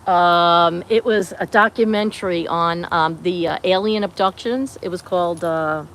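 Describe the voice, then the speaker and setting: A woman's voice: on the low side, a little slow, a bit bright. Chatty Bronx-accented woman hosting a livestream. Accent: Bronx New York. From New York State. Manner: chatty, laughs often, greets viewers. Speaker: livestream host. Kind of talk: casual livestream chat.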